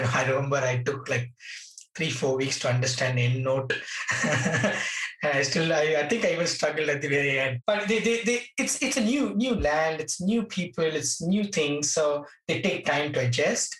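A male interviewer talks in a roomy, echoing space, some way from the microphone. His mid-pitched voice is conversational and halting, repeating phrases for emphasis. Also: a bit bright. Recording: roomy echo, distant from mic.

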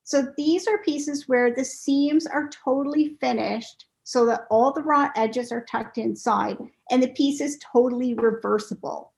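A woman's voice: high-pitched, measured pace, few pauses. A woman guest on a podcast, telling stories and explaining a sewing craft step by step in a casual, patient way. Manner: explains in steps, patient and clear. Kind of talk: casual interview talk.

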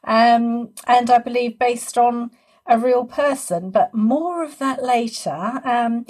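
A woman's voice: fairly high pitch, takes it slowly, a little mellow, slightly rough. A woman hosting a podcast, moving between scripted introduction and casual interview chat. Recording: remote conversation over video call.

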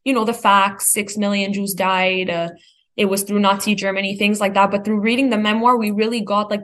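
A teenage high school student, female, talks through her ideas in a thoughtful discussion. Her voice sits at a middle pitch, and she is earnest and articulate. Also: fairly bright tone. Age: teens.

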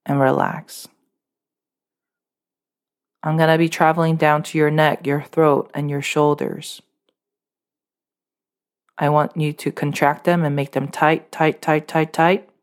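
A woman leading a guided muscle relaxation meditation, giving calm, unscripted instructions with small fillers. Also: deep voice, takes it slowly, long pauses.

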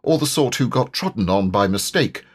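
A man's voice: fairly low pitch, animated, normal speed, breathy edge. A man narrating a children's story expressively, as an audiobook reader.

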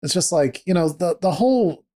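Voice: medium pitch, animated, moderate pace, warm tone. A podcast co-host in casual book talk, rambling and joking, with many fillers.

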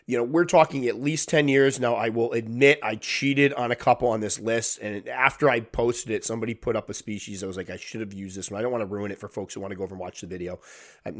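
A man in his thirties or forties with a stuffy, congested nose, hosting a solo podcast in a chatty, rambling way. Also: mid-range voice. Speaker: podcast host. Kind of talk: casual solo podcast talk.

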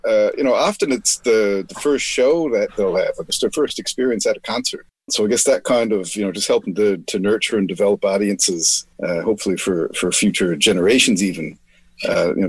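Husky low-voiced hesitant man. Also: no room sound. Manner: hesitant, many fillers, reflective. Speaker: musician in a children's band. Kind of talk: casual interview answer.

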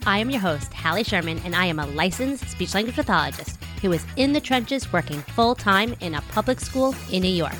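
A woman's voice: mid-range voice, animated, a little fast, hardly any pauses, music in the background. Upbeat woman hosting a podcast. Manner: upbeat, welcoming. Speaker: podcast host, speech-language pathologist. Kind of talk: scripted podcast intro, then casual interview.

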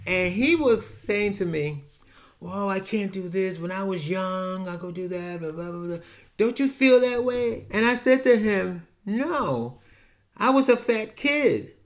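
A man's voice: high-pitched, animated, a little slow. Rambling New man in his seventies. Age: 70s. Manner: rambling, frank, with many restarts. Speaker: podcast host. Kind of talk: casual personal monologue.

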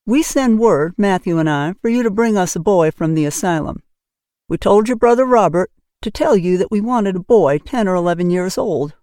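A woman voicing a stern, practical middle-aged spinster who talks in a brisk, plain, matter-of-fact way. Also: mid-range voice, animated, dark tone, no room sound, clean studio sound, natural voice.

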